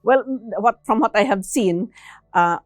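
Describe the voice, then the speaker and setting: A woman giving explanatory interview answers in a measured, halting way, sometimes tagging phrases with a questioning "no". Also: mid-range voice, no echo, clean studio sound, faint music behind.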